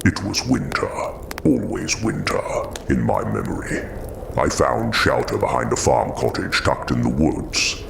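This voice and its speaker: A haunted giant creature for an audio drama, super low and slow, gentle but weary, noisy background. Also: male voice, sing-song, creaky, heavily acted. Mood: haunted, remembering. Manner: slow, weighted, halting delivery.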